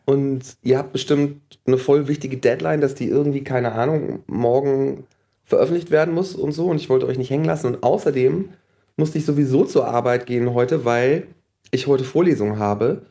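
A man guests on a podcast while fighting a cold, explaining ideas in a relaxed, easygoing way. His voice is mellow and dark at an ordinary pitch. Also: normal speed. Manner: relaxed, explanatory, laughs easily. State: has a cold. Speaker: guest, university lecturer and psychologist. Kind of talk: casual interview answers. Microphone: phone line.

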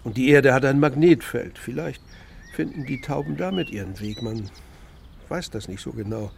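Man as a gravelly, kindly pigeon keeper. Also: mid-range voice, normal speed, noisy background, lightly acted. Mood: musing. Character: kindly older pigeon keeper, patient neighbourly uncle. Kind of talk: German children's radio play acting.

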